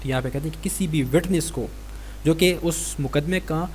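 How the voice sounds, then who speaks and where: A man's voice: fairly high pitch, moderate pace. A man with a Pakistani accent giving an explanatory law lecture, reading statutes and explaining them. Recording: clear background noise with hum.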